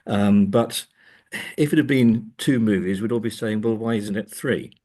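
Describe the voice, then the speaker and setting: A man's voice: fairly low pitch, normal speed, warm tone. A man speaking as an academic guest in a discursive interview, hesitant and often repeating words as he thinks.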